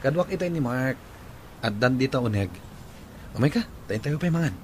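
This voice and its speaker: A man's voice: mid-range voice, lively intonation, moderate pace, darkish tone, a little hiss or hum, close to an everyday voice. A Filipino man playing a considerate, friendly young boyfriend in a radio drama. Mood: friendly, concerned. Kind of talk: Ilocano radio drama acting.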